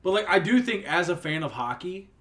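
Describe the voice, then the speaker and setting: A man's voice: sits high, animated, fairly quick, a bit bright. A young man co-hosting a sports podcast, teasing his friend and speaking earnestly about sports stories. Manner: teasing, then earnest and reflective. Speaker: podcast co-host. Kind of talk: casual sports chat.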